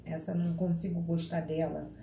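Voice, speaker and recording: A woman from Rio de Janeiro with a Carioca accent, giving a law class online and chatting with her students in a lively, teasing way. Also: sits low, brisk, creaky, a little hiss or hum. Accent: Carioca Portuguese. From Rio de Janeiro, Brazil. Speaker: law lecturer. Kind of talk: live online class, reading chat questions. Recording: echoey room with faint ambience.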